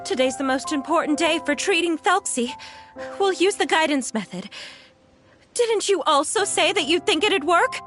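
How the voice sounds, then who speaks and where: A woman's voice: extremely high pitch, lively intonation, moderate pace, a bit bright, soft background music. A woman playing a devoted, fragile mother in a video game, emotional and wistful in her delivery. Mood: insistent. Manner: tender, emotional, wistful, repeating words.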